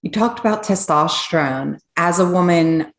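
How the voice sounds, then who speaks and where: Woman co-host, deep voice, eager rambling questions, echoey room. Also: moderate pace. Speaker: podcast co-host. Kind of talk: podcast interview.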